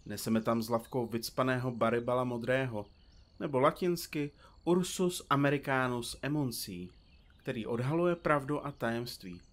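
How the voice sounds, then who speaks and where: A man's voice: mid-range voice, lightly acted. A man playing a talkative, theatrical detective in an audio drama, speaking in a florid, pompous and teasing way. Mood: florid, wry. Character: chatty, theatrical, teasing male detective dictating to his recorder. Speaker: detective in a comedy mystery podcast.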